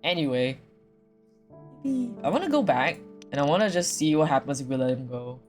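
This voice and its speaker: A streamer talking casually and excitedly through a visual novel, with slangy, sweary commentary. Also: medium pitch, normal speed, soft background music. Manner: animated, slangy, profane.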